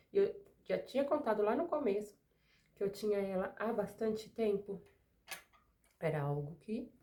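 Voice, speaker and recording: A middle-aged Brazilian woman with a mellow, warm voice, still getting over a cold, talks casually to camera from her craft desk. She wanders into chatty asides and rhetorical questions as she works. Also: medium pitch. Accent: Brazilian Portuguese. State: recovering from a cold. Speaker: craft studio owner and channel host. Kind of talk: casual chat to camera while working.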